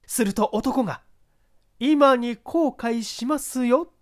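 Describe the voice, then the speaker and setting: Reader as a high, eager gossiping neighbour woman. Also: slow. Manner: chatty, insinuating. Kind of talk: acted audiobook dialogue.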